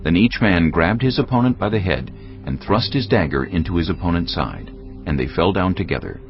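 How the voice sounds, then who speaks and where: A man's voice: low-pitched, animated, a little fast. A man narrating a dramatized Bible as a scripted storytelling reading. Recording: dull lo-fi audio with music behind. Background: faint music.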